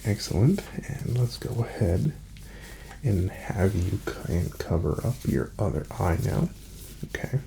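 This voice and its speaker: A man speaking softly and breathily in an ASMR doctor roleplay, often slipping into a whisper as he gives gentle, reassuring, step-by-step instructions. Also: fairly low pitch, creaky, background noise. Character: calm, courteous male doctor examining a patient. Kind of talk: soft-spoken ASMR medical exam roleplay.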